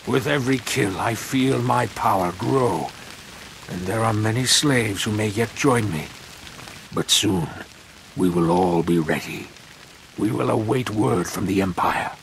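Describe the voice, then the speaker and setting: An actor playing a fanatical, bloodthirsty slave cult leader in a video game, speaking in a grandiose, reverent way. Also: male voice, lowish voice, a little slow, creaky, a little hiss or hum, low-quality recording. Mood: fervent, proud. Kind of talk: video game villain acting.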